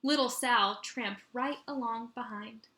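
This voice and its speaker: A woman teacher reading a children's story aloud in an expressive, animated way with dramatic emphasis. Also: fairly high pitch, unhurried. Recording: roomy echo.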